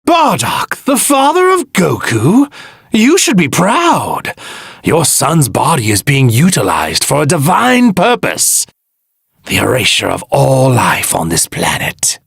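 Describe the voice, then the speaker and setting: An arrogant, villainous god in a battle game. The voice is gravelly and husky, swinging dramatically up and down. He delivers formal, pompous pronouncements at a slow, deliberate pace. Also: mid-range voice, wildly swooping pitch, a bit bright, no room sound, clean studio sound, exaggerated voice. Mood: smug menace. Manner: pompous, grandiose, formal, archaic phrasing. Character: arrogant evil god inhabiting a warrior's stolen body. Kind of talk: theatrical video game battle lines.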